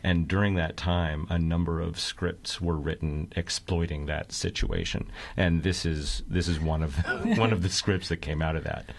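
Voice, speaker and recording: A middle-aged American man with a low-pitched voice talks as an interview guest in a radio studio. His delivery is casual, with a dry, wry streak. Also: moderate pace, creaky, hardly any pauses. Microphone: studio broadcast microphone.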